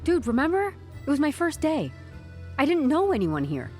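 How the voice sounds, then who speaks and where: Deadpan, stubborn HR woman, animated pitch. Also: moderate pace, soft background music. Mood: insistent. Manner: deadpan corporate politeness with wry candour. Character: composed, stubborn young HR newcomer turning interrogation into interview. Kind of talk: audio drama acting.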